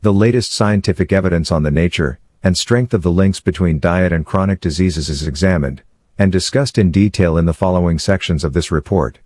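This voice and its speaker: Low male voice, careful formal read-aloud, close dry sound. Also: brisk, darkish tone, dry recording. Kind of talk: read-aloud exam practice of formal passages.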